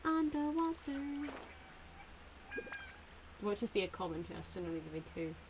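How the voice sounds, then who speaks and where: A woman's voice: high-pitched, wildly swooping pitch, measured pace, noisy background. A woman giving casual live gameplay commentary, playful and giggly, often muttering to herself. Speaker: streamer playing a video game.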